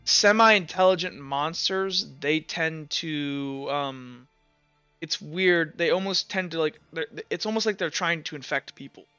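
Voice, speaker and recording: A man's voice: on the high side, unhurried, bright, forceful tone, clear, resonant tone, dry recording, lo-fi sound, faint music behind. A man acting as game master, improvising tabletop roleplay narration in a casual, loose way, searching for words.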